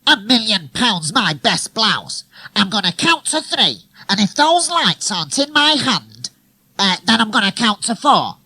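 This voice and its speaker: A man's voice: high-pitched, sing-song, unhurried, pushed and bright, breathy edge, no echo, pitched above the performer's own voice, exaggerated voice, low-quality recording. A man voicing a stern, exasperated mum who scolds her son in a comic story. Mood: scolding.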